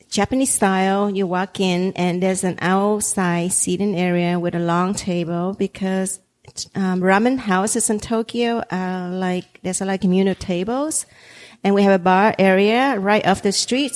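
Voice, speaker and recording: A woman's voice: mid-range voice, a little mellow. A woman who owns restaurants, whose first language is Vietnamese, chatting in an interview with frequent ums and easy laughter. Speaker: restaurant owner. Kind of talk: casual interview chat.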